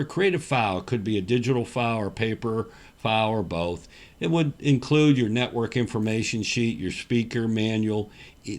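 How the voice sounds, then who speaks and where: A man giving instructional narration as a company representative, working through a checklist step by step and stressing the start of each point. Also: mid-range voice. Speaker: company representative presenting a product explainer.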